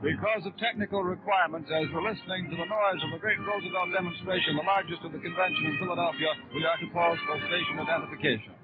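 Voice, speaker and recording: Man, high voice, formal 1930s live radio commentary, tinny narrow old recording, band music behind. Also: fairly even intonation, fast talker, hardly any pauses. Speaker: radio convention announcer. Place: large noisy convention hall. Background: band music and demonstration noise.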